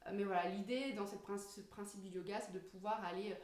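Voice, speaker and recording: A woman's voice: mid-range voice, steady tone, quick pace, a little mellow. A woman giving an informal explanatory yoga lesson, searching for her words as she goes. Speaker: yoga teacher. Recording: roomy echo, distant microphone.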